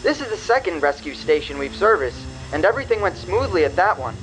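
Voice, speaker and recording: A man's voice: high-pitched, sing-song, fairly quick, a bit bright, low-quality recording. An actor playing an earnest young male cadet engineer in a space radio drama, reasoning things out in a youthful voice. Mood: thoughtful. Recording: music under dialogue.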